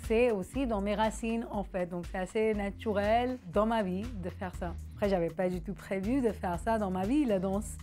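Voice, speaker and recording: A woman's voice: medium pitch, normal speed, few pauses, warm tone. Pakistani-accented woman over music. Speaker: dance teacher and dancer. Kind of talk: personal interview, conversational storytelling. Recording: music playing under the voice.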